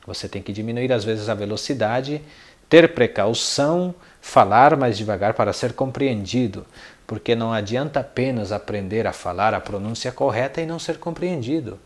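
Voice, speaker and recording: A man's voice: mid-range voice, lively intonation, normal speed. A man from Paraguay with a Paraguayan accent, a non-native speaker giving an informal lesson to viewers in a didactic way, repeating words and posing questions. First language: Spanish and Guarani. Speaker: language teacher and video channel host.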